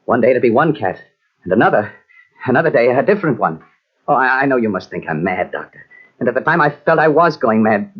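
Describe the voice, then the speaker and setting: An actor playing a tormented, bitter husband in an old-time radio mystery, with a dramatic, brooding delivery. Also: male voice, mid-range voice, big pitch swings, a little fast, slightly rough. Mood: haunted, confessional. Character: tormented, bitter husband confessing his crime to a doctor. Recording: muffled vintage radio recording from cassette.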